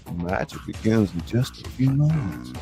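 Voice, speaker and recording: A man's voice: lowish voice, huge pitch swings, normal speed, over music. A man hosting a live show, announcing from a script with theatrical, emphatic showman delivery. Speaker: show host and narrator.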